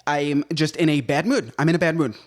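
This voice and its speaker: A man co-hosting a casual chat podcast from a home set, ranting and telling stories in an animated way. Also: a little high, fairly quick. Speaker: podcast co-host.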